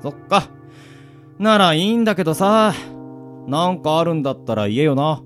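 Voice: medium pitch, expressive, normal speed, a bit bright, close to an everyday voice. A performer playing a caring young male college friend in a radio drama, with blunt, casual boyish speech. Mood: concerned, friendly. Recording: music bed under the voice.

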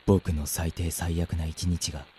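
A man's voice: low-pitched, little pitch movement, unhurried, mellow, husky, exaggerated voice. A man playing a timid, confused young captive in a radio drama, polite and hesitant. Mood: grim, hushed.

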